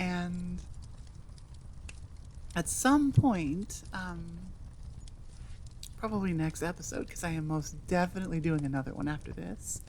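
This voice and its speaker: A woman's voice: fairly low pitch, a little hiss or hum. A woman audio roleplay creator chatting casually to listeners in a playful, self-deprecating, rambling way.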